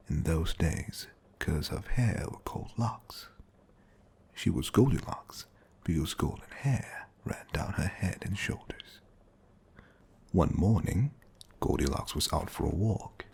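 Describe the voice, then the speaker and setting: A man as a soothing butler storyteller reads a fairy tale in a very deep, creaky voice that drops into whispering. The delivery is breathy and unhurried, made for sleep. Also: darkish tone, exaggerated voice.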